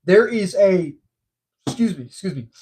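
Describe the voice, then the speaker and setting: A man's voice: high voice, lively intonation, moderate pace, a little mellow, a little hiss or hum. A man hosting a casual movie news livestream, stuffed up from allergies, rambling and repeating himself with frequent ums.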